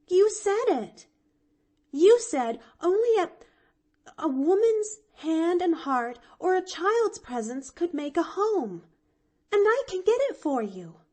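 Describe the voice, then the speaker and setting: A woman's voice: very high-pitched, very animated, takes it slowly, a little mellow, pitched above the performer's own voice, exaggerated voice. A woman reading an audiobook, voicing an earnest little girl who stammers and pleads. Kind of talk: audiobook character voice.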